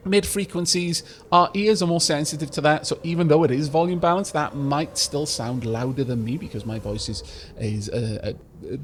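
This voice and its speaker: A man hosting a let's play, chatting casually and explaining game mechanics with a slight rumble in his voice. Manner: chatty, explanatory, frequent restarts and fillers. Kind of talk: casual gameplay commentary.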